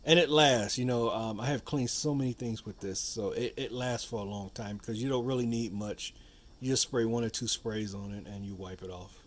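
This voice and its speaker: A man with a fairly low voice hosts a casual how-to video. He talks through a hands-on demonstration in a loose, rambling way, often circling back to repeat his points and dropping in little asides. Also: moderate pace. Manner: rambling, repetitive, with frequent "you know" asides. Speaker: video host demonstrating a product.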